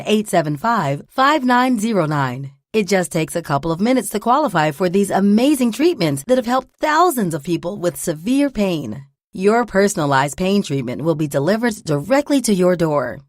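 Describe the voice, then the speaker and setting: A woman announcer delivers an upbeat, urgent sales pitch with a lively, bouncing intonation. Her voice is close and dry, with no room sound around it. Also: no room sound. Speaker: commercial announcer. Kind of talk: scripted radio ad read.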